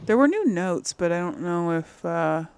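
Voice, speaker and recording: A woman's voice: on the low side, lively intonation, moderate pace, darkish tone, clear, resonant tone. A woman giving casual game playthrough commentary, thinking aloud and muttering to herself as she goes. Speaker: gaming channel host.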